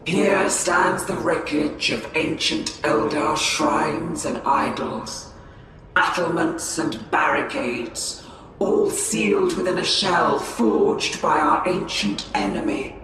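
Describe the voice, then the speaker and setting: An actress playing an ancient, grandiose alien sage in a game cinematic, portentous and declamatory. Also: female voice, low voice, takes it slowly. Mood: ominous, brooding. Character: ancient, grandiose narrator addressing a cursed world. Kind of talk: dramatic game cinematic monologue. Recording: cavernous echo, distant, noisy.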